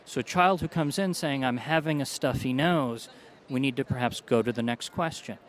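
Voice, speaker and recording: A male doctor speaking in a measured, explanatory tone, pitched in the middle range for a man. He lays out findings point by point as an interview guest. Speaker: doctor, allergy specialist. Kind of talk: interview answers.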